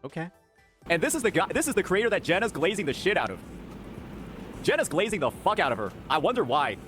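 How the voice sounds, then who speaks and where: A man's voice: high-pitched, big pitch swings, fast talker, fairly bright tone, faint music behind. A man streaming angry reaction commentary, heated, repeating himself and swearing. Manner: heated, repetitive, profane.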